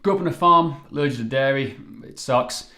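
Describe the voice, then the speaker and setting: Welsh coach in his forties, Welsh-speaking, chatty webinar host, middle pitch, echoey room. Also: a little fast, bright, forceful tone. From Wales. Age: 40s. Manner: upbeat, chatty, poses rhetorical questions. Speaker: fitness coach and company founder. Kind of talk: live webinar presentation.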